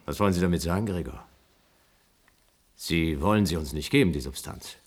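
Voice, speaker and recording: An eager visitor who cannot believe what he hears. His voice is fairly low and rough-edged, and he presses his points urgently, as in a radio drama. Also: fairly quick. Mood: disbelieving. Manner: insistent, urgent. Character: eager, disbelieving male visitor, pleading and stunned. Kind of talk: German radio play acting.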